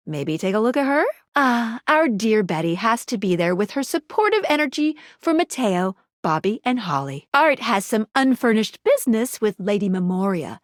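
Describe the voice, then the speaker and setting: High, animated, perky game guide. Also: female voice, normal speed, hardly any pauses, no echo, studio quality. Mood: encouraging. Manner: bubbly, playful, expressive, slangy. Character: perky, quirky, friendly young woman game guide. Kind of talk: video game character voice lines.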